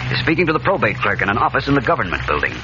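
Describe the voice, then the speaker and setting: A man's voice: mid-range voice, very animated, rapid-fire, noisy background. A man narrating a 1940s radio serial in an urgent, declamatory style. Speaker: narrator, announcer. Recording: dull old radio recording, loud music.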